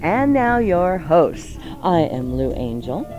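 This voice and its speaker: Showy woman radio announcer, swooping over loud music. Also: fairly low pitch, sing-song, slow pace, highs cut off. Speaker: radio show announcer and co-host. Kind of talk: theatrical live radio announcing and playful banter.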